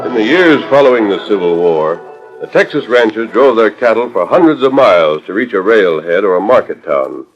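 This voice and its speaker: The grave, dramatic narrator of a 1940s radio Western. His declamatory delivery is animated, on a muffled old disc recording. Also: lowish voice, normal speed, soft background music. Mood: stirring, dramatic. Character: grave, stirring storyteller of a Western adventure.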